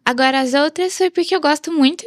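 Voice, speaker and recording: A Brazilian woman with a slightly high voice, chatting casually as an interview guest. She talks expressively, in a Brazilian accent. The sound is dry, with very little room. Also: fast talker, no room sound. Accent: Brazilian Portuguese. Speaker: interview guest, sports psychologist. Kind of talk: casual chat.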